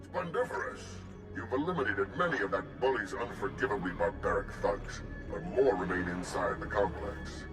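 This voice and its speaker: A man's voice: fairly low pitch, low-quality recording. A man voicing a verbose, courteous alien captive in a sci-fi game, speaking in florid, formal phrases through a radio filter. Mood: pleased, grateful. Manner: pompous, florid, formal wording. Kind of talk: scripted game dialogue.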